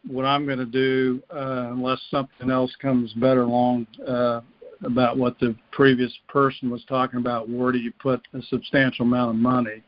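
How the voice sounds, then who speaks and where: A man calling in by telephone to ask for advice, speaking casually with hesitant, halting phrasing. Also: medium pitch, flat delivery, dry recording. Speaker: caller asking for advice. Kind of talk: casual phone question. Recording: dull lo-fi phone call.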